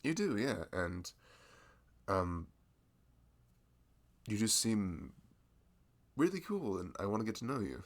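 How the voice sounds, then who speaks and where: A man's voice: lowish voice, big pitch swings, slow pace, long pauses, exaggerated voice. A man playing a sweet, chatty young florist with a crush in an audio roleplay, talking in a flustered, self-deprecating ramble. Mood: nervous, earnest. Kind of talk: casual ASMR-style audio roleplay spoken to the listener.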